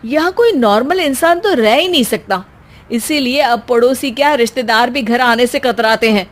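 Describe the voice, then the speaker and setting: A woman's voice: a little high, moderate pace, lightly acted. A woman voicing an exasperated adult son in a cartoon, who lectures his bickering family with sarcasm.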